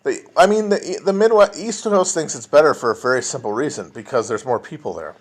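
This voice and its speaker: A man's voice: fairly high pitch, expressive, a little fast. A man rambling and swearing as he chats alone to a livestream, full of restarts and trailing asides. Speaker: podcast host answering chat. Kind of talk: casual livestream chat. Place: home, alone at a phone or computer.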